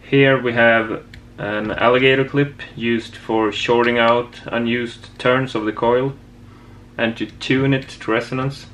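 A man giving a casual hands-on project demonstration as a hobbyist presenter, with a hesitant, halting delivery. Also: mid-range voice, fairly bright tone, slight background noise. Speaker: amateur radio hobbyist presenter. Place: indoor home workshop.

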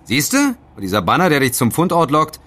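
An actor playing an argumentative young student friend, colloquial and insistent, in a German audio drama. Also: male voice, on the high side, huge pitch swings, brisk, fairly bright tone, exaggerated voice. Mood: accusing.

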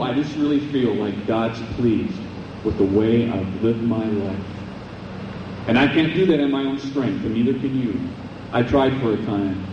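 A man preaching earnestly to a camp audience, his voice worn hoarse and losing its edge. It is heard from a distance on a dull, hissy live tape. The sound carries the echo of a chapel room. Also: mid-range voice. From United States. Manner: earnest, exhorting. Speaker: camp speaker. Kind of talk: evening sermon to teens. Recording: echoey dull 1980s live tape from far off.

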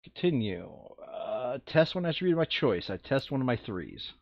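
A man's voice: mid-range voice, sing-song, normal speed, creaky, dry recording. A man playing a board game, talking through his moves and reading cards aloud in a casual, muttering way. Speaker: player in a tabletop board game playthrough. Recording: dull lo-fi close mic.

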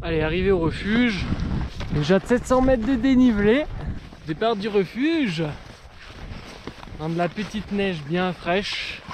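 A man with a fairly high voice talks over his own ski tour in short, upbeat remarks, swearing offhand as he goes. He is in his thirties and speaks into an action camera held out on a mountain slope. Wind and snow noise fill the background. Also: expressive, measured pace, pauses often. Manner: brief, upbeat trail commentary, swears casually. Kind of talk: casual narration while ski touring. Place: snowy mountain slope outdoors. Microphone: action camera held at arm's length.

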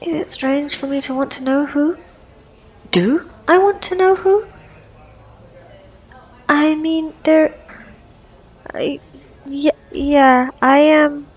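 A woman's voice: sits high, fairly even intonation, slow, pauses often. A woman playing an anxious, socially awkward young woman with a crush in an ASMR roleplay, stammering and rambling nervously. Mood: anxious musing. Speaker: audio roleplay performer. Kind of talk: ASMR girlfriend roleplay acting.

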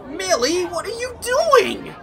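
A young man playing a serious, sarcastic, exasperated human team member in an animated fan audio drama. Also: very high-pitched, slow pace, a crowd in the background, heavily acted. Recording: music behind the voice.

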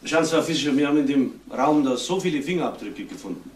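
A brisk, wry police assistant in a crime radio play , acted by a man. He chatters officiously at a quick pace, sounding roomy and away from the microphone. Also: a little high, animated, close to an everyday voice. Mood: brisk, explaining. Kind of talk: German crime radio drama acting. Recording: roomy, distant, slight noise.

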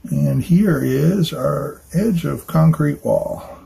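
A man's voice: fairly high pitch, takes it slowly, vocal fry, mellow, husky. A man in his fifties, an architect, casually explaining a construction drawing in a chatty, informal way with lots of fillers and tag questions. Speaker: architect explaining building details. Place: small studio room with dark curtains.

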